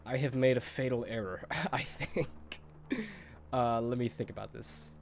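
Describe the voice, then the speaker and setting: A man's voice: mid-range voice, fairly even intonation, normal speed, creaky, a little hiss or hum. A congested man with a cold giving chatty, nostalgic commentary while streaming a video game, laughing often.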